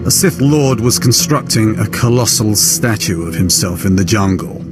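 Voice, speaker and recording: A man's voice: on the low side, lively intonation, moderate pace, music in the background, lightly acted. A man playing a cold, sadistic Sith lord, smug and menacing, in a video game cutscene.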